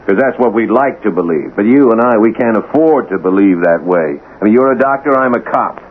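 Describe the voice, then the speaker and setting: Man playing a low, gruff, cynical cop. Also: animated, fairly quick, lightly acted. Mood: cynical, pressing. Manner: gruff, skeptical, hard-boiled. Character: cynical, blunt male homicide police lieutenant. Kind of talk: 1980s radio drama acting. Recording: tinny, noisy old radio broadcast.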